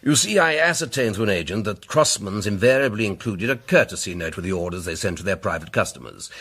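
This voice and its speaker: An actor playing a pompous, self-assured English barrister sleuth in a radio drama, speaking with rhetorical, deliberate emphasis. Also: male voice, lowish voice, fairly bright tone, breathy edge. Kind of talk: radio drama, courtroom-like oratory.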